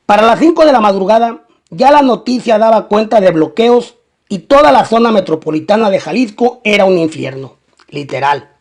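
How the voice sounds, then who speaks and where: A man's voice: sits high, animated, normal speed, a little mellow. A man reading a scripted documentary-style crime narration.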